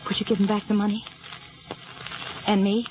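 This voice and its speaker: An actress playing a brave, earnest young hostage in a radio crime drama, pleading and hesitant. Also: female voice, mid-range voice, little pitch movement, normal speed, natural voice. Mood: urgent, pleading. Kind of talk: old-time radio drama acting. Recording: dull old radio recording, faint noise.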